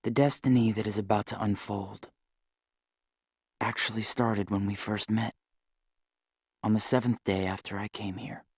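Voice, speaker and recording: Husky, solemn reflective young narrator. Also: male voice, on the low side, fairly even intonation, a little slow, long pauses, no echo, clean studio sound. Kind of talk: video game cutscene acting.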